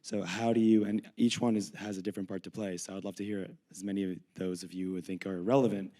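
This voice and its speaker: A hoarse man in the audience asks a long, earnest question. His voice is fairly low and stays flat in pitch. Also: fast talker. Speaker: audience member asking a question.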